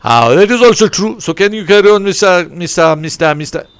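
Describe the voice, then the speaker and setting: A man voicing a stern, teasing male podcast moderator who talks loudly, scolds, and tags his lines with "eh?". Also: sits high, lively intonation, brisk, clear, resonant tone, dry recording. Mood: scolding. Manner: loud and scolding, ends lines with "eh?".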